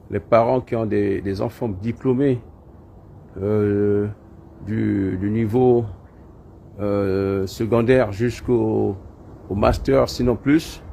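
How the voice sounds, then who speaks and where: A man's voice: fairly low pitch, creaky, dark tone. A man, an IT trainer, giving an informal promotional address in hesitant, repetitive phrases. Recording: faint background noise.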